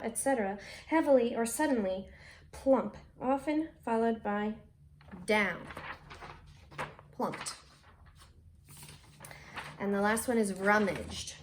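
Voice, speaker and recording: A woman teacher giving a read-aloud lesson for children, expressive and deliberately stressing key words. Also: on the high side, a little hiss or hum.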